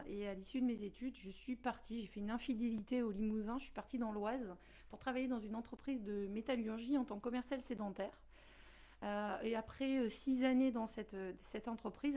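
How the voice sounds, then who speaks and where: A French-born woman, a company director, answering interview questions in a steady, earnest way with frequent fillers. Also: fairly high pitch. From France.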